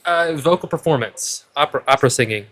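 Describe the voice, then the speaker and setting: A man with a calming voice in casual chat, hesitant and repeating his words as he goes. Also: fairly high pitch, quick pace, a little hiss or hum. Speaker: co-host following along with the recipe.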